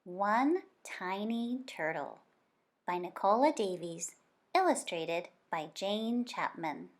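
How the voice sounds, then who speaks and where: Woman reading aloud with lively, swinging intonation. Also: medium pitch. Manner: expressive, deliberate storytelling with drawn-out words. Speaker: teacher reading books aloud to children. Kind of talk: read-aloud of a children's nonfiction picture book.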